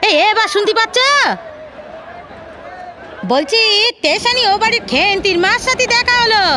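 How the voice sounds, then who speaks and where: A woman playing a flustered, naive, talkative housemaid in an audio drama, with a rural West Bengali accent and a folksy, hesitant manner. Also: very high-pitched.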